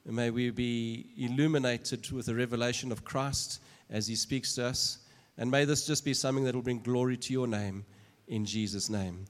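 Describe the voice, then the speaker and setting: Pastor guy, earnest, praying over a guest speaker, mid-pitched voice, long church hall echo. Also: fairly even intonation, normal speed. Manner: earnest, fervent in prayer. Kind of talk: church introduction and prayer.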